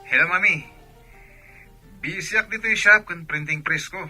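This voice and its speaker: A man's voice: high-pitched, normal speed, pushed and bright, faint music behind, heavily acted. A man playing a busy, choosy grown son in an Ilocano audio drama. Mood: breezy. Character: busy, choosy grown son running his own shop.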